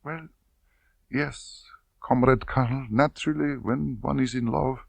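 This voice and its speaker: Man doing a timid young soviet woman, hesitant, soft, faltering, raised pitch, dry close recording. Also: sing-song, a little slow, slightly rough, no room sound, full character voice. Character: timid young female Soviet corporal, frightened. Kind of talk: audiobook character voice.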